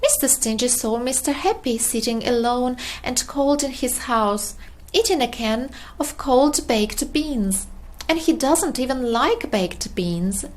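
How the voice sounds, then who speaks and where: A woman narrates a children's story in a high, highly animated voice. She takes her time, reading expressively and deliberately for very young listeners.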